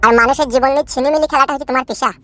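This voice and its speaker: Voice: high-pitched, fairly even intonation, very fast, pushed and bright. A performer voicing a dramatic, self-pitying young husband in a cartoon, pouring out long, florid complaints. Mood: aggrieved. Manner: long wailing complaints full of florid images. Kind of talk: cartoon dub acting.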